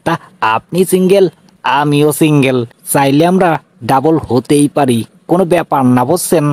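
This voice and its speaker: A man's voice: fairly high pitch, normal speed, darkish tone, no room sound. A man voicing a boastful, comically tough cartoon police inspector, emphatic, with a rural Bangladeshi accent. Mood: flirtatious. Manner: swaggering, emphatic.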